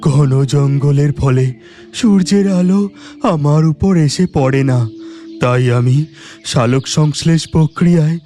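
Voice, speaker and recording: A man voicing a hungry, gentle talking tree in a children's cartoon, speaking in a pleading, plaintive way. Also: a little high, a little slow, soft background music, close to an everyday voice. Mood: weak, pleading.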